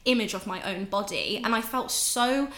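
A woman's voice: medium pitch, moderate pace, a bit bright, roomy sound. A woman in her twenties with an English accent, a podcast guest talking candidly and casually, swearing now and then. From England, raised in Ireland. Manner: chatty, candid, swears, laughs often.

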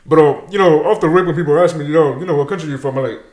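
A man's voice: on the high side, racing, a little mellow, roomy sound. A young man with Dominican and Peruvian roots from New Jersey, talking energetically in a casual interview and dropping Spanish words into his slangy speech. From Dominican and Peruvian heritage, New Jersey. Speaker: guest, show creator.

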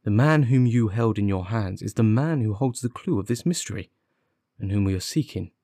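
A man voicing a confident, impatient gentleman detective, articulate and sardonic, in an audiobook. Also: on the low side, lively intonation, moderate pace, no echo, clean studio sound. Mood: scornful. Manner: articulate, commanding, witty. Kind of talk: audiobook character dialogue.

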